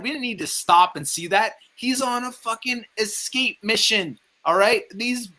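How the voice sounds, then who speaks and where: A man with a high-pitched, bright and forceful voice gives casual film opinions. He is blunt and emphatic, swearing heavily as he makes his case. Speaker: film review show host. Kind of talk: casual ranting movie commentary.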